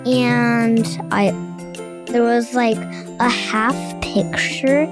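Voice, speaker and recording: An eight-year-old child giving a simple, halting review as a podcast segment. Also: mid-range voice, level tone. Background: children's music.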